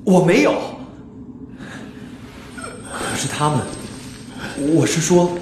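An actor playing an uneasy, soft-hearted junior guard in a radio drama. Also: male voice, fairly high pitch, slow. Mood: worried. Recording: echoey, noisy ambience.

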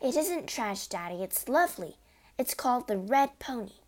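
A woman's voice: on the high side, big pitch swings, moderate pace, pitched above the performer's own voice. A woman voicing a polite, bookish little girl who speaks earnestly and pleads.

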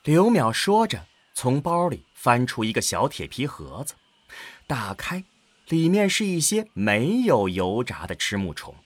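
A man's voice: mid-range voice, wildly swooping pitch, measured pace, no room sound. A man narrating a science fiction radio drama in a scripted, dramatic storytelling style.

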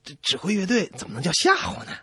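A man's voice: high-pitched, wildly swooping pitch, fast, fairly bright tone, husky, no room sound. A man co-hosting a comedy radio show with exuberant banter and joke-telling.

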